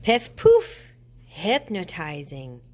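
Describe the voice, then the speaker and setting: A woman's voice: lowish voice, wildly swooping pitch, very slow, a little mellow, exaggerated voice. A woman voicing a gleeful old witch from a storybook, theatrical and cackling, with a chanting delivery. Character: gleeful old witch cooking bone soup.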